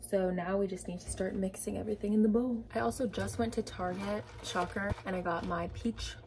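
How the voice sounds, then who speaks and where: A woman's voice: medium pitch, fairly even intonation, a little fast, background noise. A young woman chatting casually through a bake-with-me vlog in her home kitchen, narrating each step as she goes. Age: young adult. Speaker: vlogger.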